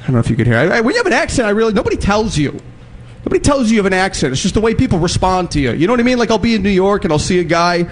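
A man with a Chicago accent doing a stand-up comedy set, telling a story in an animated way with mocking impressions. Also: sits high, racing. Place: comedy club stage.

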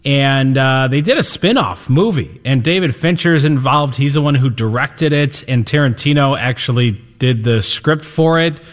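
A man's voice: medium pitch, lively intonation, normal speed, few pauses. Enthusiastic man in a reverberant room. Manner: enthusiastic, conversational. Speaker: radio show co-host. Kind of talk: casual entertainment chat.

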